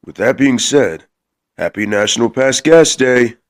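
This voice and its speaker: A man's voice: medium pitch, animated, measured pace. A man voicing a big, brash, hot-headed and shameless gorilla in a scripted comedy cartoon. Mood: easygoing. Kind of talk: scripted comic cartoon dialogue.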